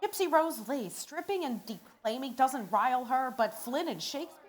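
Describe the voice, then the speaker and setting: Brash high-voiced wisecracking lady reporter. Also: animated, moderate pace. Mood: pushy, confident. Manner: slangy, snappy, sardonic. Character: brash, wisecracking woman newspaper reporter, 1940s style. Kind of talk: old-time radio play acting.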